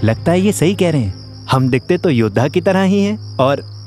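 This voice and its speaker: A performer voicing a boastful, easygoing young boy prince in an animated fairy tale, with a casual manner. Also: mid-range voice, normal speed, soft background music, natural voice. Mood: puzzled, agreeable. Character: boastful, easygoing young boy who believes himself king.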